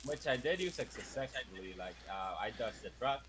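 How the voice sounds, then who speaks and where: A man's voice: on the low side, expressive, normal speed, fairly bright tone. Man chatting over noisy background. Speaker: tabletop game player. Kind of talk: casual chat. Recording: clearly noisy, ambient background noise.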